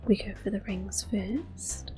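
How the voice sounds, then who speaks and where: A young woman giving calm, soft-spoken ASMR commentary on a game she is playing, drifting often into close whispers with gentle fillers. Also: medium pitch, slow pace. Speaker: ASMR gaming host. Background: faint video game music.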